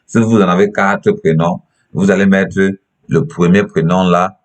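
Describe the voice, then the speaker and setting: A young man with a Cameroonian accent and a very low-pitched voice presents a step-by-step tutorial from his computer. He goes haltingly, often repeating words and phrases as he explains each step. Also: steady tone.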